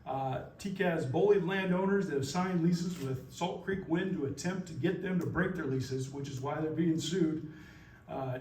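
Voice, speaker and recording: A man giving forceful, combative, legalistic testimony as a company's speaker at a public hearing. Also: fairly high pitch. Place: public meeting room. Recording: roomy, distant mic, faint noise.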